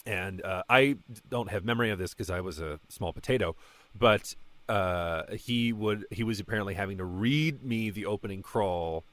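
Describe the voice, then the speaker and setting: Male, late 20s, low register, dry sound. Voice actor as interview guest: casual, rambling, self-effacing. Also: a bit bright, no room sound. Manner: halting, rambling, self-deprecating. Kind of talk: casual conversation about a fan project.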